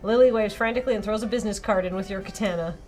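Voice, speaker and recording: A woman's voice: medium pitch, very fast, darkish tone, faint music behind. A woman streamer playfully reading a game's dialogue aloud and chatting casually. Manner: playful, giggly.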